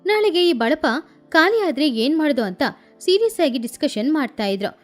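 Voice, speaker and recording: A woman narrating a children's story in an animated storytelling style. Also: sits high, normal speed, soft background music.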